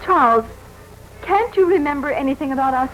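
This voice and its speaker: An actress playing an anxious, devoted American wife and former nurse in a 1940s radio drama, speaking earnestly and pleadingly. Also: female voice, high-pitched, expressive, fairly quick, faint music behind. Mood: anxious, tender. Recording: muffled, slightly noisy 1940s radio recording.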